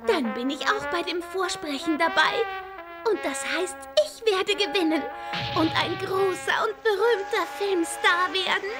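A woman voicing an excitable, boastful, naive teenage schoolgirl in an animated series dub. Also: very high-pitched, unhurried, over loud music.

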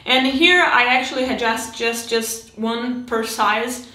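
A woman in her thirties casually narrating her hobby build, chatty and halting, with many restarts and fillers, recorded at home on a desk camera mic. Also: a little high, sharp tone, echoey room, poor audio. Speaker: miniature terrain hobbyist.

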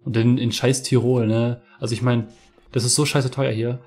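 A man's voice: medium pitch, flat delivery, normal speed, darkish tone, slightly rough, soft background music. A young man in his twenties from northeastern Germany, chatting casually and ranting over a game he plays, cursing freely. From Mecklenburg-Vorpommern. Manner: chatty, swears freely, rants. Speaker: gaming streamer. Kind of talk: casual let's play commentary. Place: home room with webcam. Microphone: headset.

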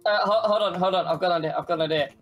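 A man's voice: high voice, rapid-fire, dull audio, faint music behind, lightly acted. A man playing a silly, mischievous, scatterbrained superhero in an improvised game roleplay, goofy and repeating himself. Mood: sly, excited.

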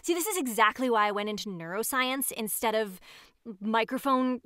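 Female, disgraced scatterbrained scientist, halting self-justifying rambler, slightly high pitch, dry close sound, audio drama. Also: expressive, fairly bright tone, dry recording. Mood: flustered, chatty. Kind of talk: audio drama monologue as if recording a homemade show.

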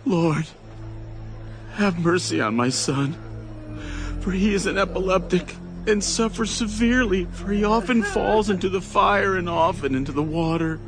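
High-pitched, desperate pleading father. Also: moderate pace. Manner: imploring, emotional. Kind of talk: dramatized scripture acting. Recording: loud music behind the voice.

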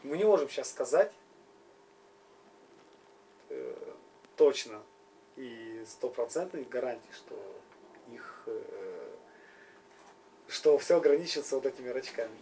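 Interviewer-interpreter: man, mellow tone, halting phrases, a little distant from the mic. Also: mid-range voice, expressive. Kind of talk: informal spoken interpreting and interviewing. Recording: slightly distant, faint noise and hum.

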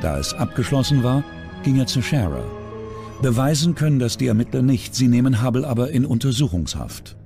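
A man reading scripted true-crime documentary narration. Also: mid-range voice.

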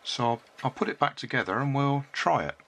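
An older British man narrating a hands-on repair in a casual, unhurried, matter-of-fact way. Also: medium pitch, expressive, gravelly, fairly bright tone. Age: 60s or older. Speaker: hobbyist repairing and reviewing a toy. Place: cluttered indoor hobby workbench. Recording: noisy room with clicks and handling sounds.

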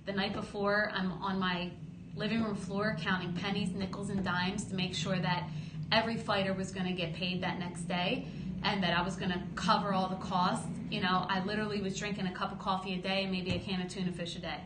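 A woman in her thirties, a boxing promoter, telling her story in a lively podcast interview at a close studio mic. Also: mid-range voice, a little fast. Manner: lively storytelling, gestures while talking, acts out quotes. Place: small studio office, indoors. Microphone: studio boom mic close up. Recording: roomy studio with faint hum.

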